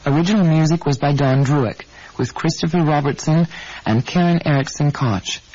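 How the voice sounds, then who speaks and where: Higher-voiced male radio announcer. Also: moderate pace, a little mellow. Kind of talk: scripted closing credits announcement. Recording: dull 1980s radio broadcast.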